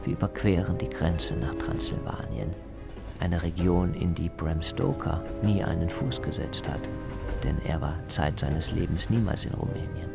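A man narrating a scripted radio travel feature as the reporter, in a measured, descriptive way. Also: low-pitched, gravelly, over loud music.

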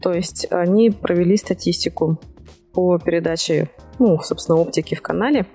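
A woman with a fairly low, soft and mellow voice hosts a technology podcast. She presents news in an explanatory manner, pausing now and then to find her phrasing. Faint music plays behind her. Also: breathy edge. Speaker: podcast host. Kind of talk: presenting tech news from notes.

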